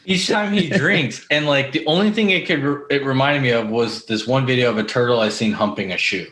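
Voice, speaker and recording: A man's voice: mid-range voice, moderate pace, fairly bright tone. A man co-hosting a podcast, telling crude, sweary stories in casual comic banter. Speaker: podcast co-host. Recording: roomy echo.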